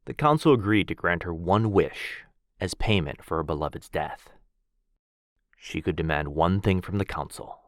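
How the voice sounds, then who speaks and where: A man voicing a gentle, courtly male vampire lord in an ASMR boyfriend roleplay. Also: sits low, no echo, clean studio sound. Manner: soft-spoken, courtly and reassuring. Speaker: voice actor.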